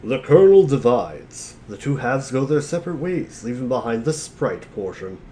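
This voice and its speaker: Man, animated intonation, medium pitch, amateur scripted narration, faint background noise. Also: normal speed. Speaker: reader of narration.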